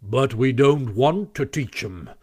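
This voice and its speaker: A man voicing a gruff, blunt older badger in a storybook, speaking emphatically and insistently with rustic grammar. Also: mid-range voice, expressive, slow pace, darkish tone. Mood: peevish, insistent.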